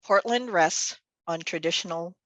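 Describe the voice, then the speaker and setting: A woman's voice: fairly low pitch, a little slow, dry recording. A woman whose first language is Tagalog, hosting a virtual event as executive director, chatty and upbeat with many fillers, speaking over a video call. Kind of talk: virtual event welcome and announcements. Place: home or office on a video call.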